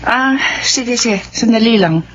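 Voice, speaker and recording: Homely middle-aged mother, lively voice, muffled lo-fi sound. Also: on the high side, a little slow, slight background noise. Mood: caring. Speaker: mother in a radio drama. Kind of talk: radio drama acting.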